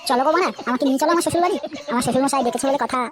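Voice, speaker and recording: A woman's voice: high-pitched, very fast, noisy background, lightly acted. A woman voicing a cocky, foul-mouthed, lovestruck young man in a comedy cartoon, with boastful, teasing banter. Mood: cocky, excited.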